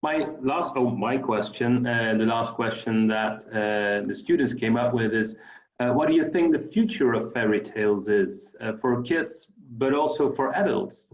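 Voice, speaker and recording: A man's voice: medium pitch, measured pace. A man asking questions conversationally as an interviewer. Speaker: interviewer, special collections curator. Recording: echoey room, dull muffled sound.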